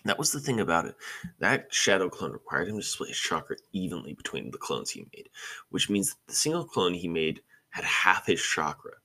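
A man's voice: sits low, big pitch swings, fairly quick, vocal fry, sharp tone, husky. A man narrating a story in an amateur way, sometimes stumbling and correcting himself. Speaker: narrator of a fan fiction podcast.

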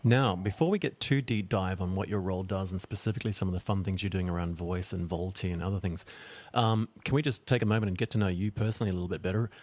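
A man's voice: deep voice, fast, a little mellow. A man hosting an interview, asking long, winding, enthusiastic questions. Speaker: podcast host.

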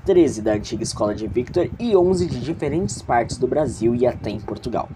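A man's voice: mid-range voice, animated, moderate pace, noisy background. A man with a Brazilian accent narrating an audio drama theatrically, with casual, playfully snobbish asides.

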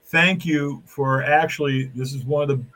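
A man hosts a talk show at a mid pitch, effusive and folksy. His talk is loose and rambling, full of warm thanks and plugs. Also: steady tone, moderate pace, very noisy. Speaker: show host. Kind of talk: casual talk show hosting.

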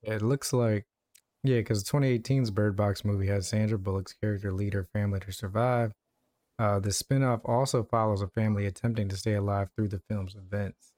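A man's voice: on the low side, fairly even intonation, fairly quick, a little mellow, no room sound. A man hosting a podcast, casually chatting and reading out entertainment news. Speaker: podcast host.

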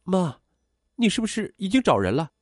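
A high-strung young woman in an audio story, acted out by a male narrator. The voice is pushed up high and swings widely, insistent and emotional. It is recorded very dry. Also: very animated, moderate pace, no echo. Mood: startled. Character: young adult daughter, headstrong, emotional, afraid of abandonment.

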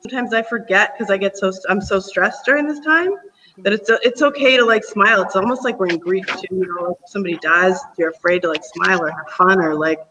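A man's voice: high-pitched, fast talker, bright, forceful tone, distant mic, low-quality recording. A man talking earnestly about breathing as a teacher on a panel discussion, rambling a bit and laughing often.

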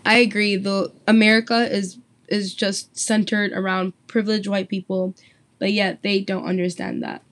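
A woman's voice: medium pitch, flat delivery, moderate pace, a bit bright. A young woman hosting a student discussion podcast, earnest and asking her guests questions. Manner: earnest, asks the panel questions. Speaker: host.

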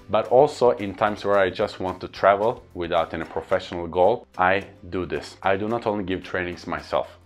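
A man from Turkey with a Turkish accent, a trainer and speaker talking earnestly to camera in an upbeat casual vlog. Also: low-pitched. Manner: earnest, upbeat, repeats lists for emphasis.

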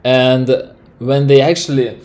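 A man's voice: medium pitch, fairly even intonation, slow, a little mellow. A man from Lithuania with a Lithuanian accent, speaking English as a second language in a rambling solo podcast monologue full of restarts. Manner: rambling, many fillers and restarts. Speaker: podcast host.

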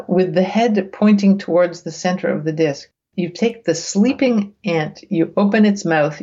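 A woman reading research aloud as a guest, with casual, bemused asides, thinking out loud in a conversational way. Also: low voice, muffled recording. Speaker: guest reader reacting to research papers.

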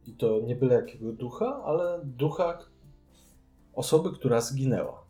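Mellow-voiced man chatting. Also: mid-range voice, animated, moderate pace, faint music behind. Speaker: podcast co-host. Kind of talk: casual board game review chat.

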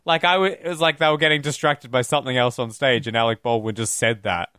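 A man's voice: mid-range voice, fairly even intonation, quick pace, bright, forceful tone, clear, resonant tone, dry recording, clean studio sound. A man co-hosting a film podcast, talking casually in a rambling, jokey way and swearing now and then. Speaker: podcast co-host.

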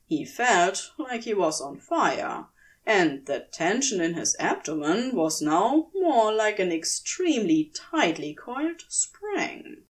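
A woman's voice: medium pitch, very animated, slow pace. A woman narrating scripted fiction as a storyteller, in audiobook style.

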